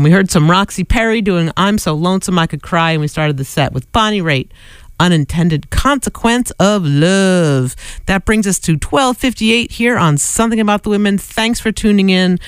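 A man's voice: high-pitched, clear, resonant tone, no room sound. A man working as a radio DJ, casually back-announcing songs and tossing in joking asides. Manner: relaxed, joking asides between song credits.

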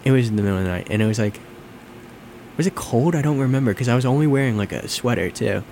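A man's voice: mid-range voice, lively intonation, quick pace, a little mellow. A young man in casual conversation, leading the chat and asking questions. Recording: noisy room with air-conditioning hum.

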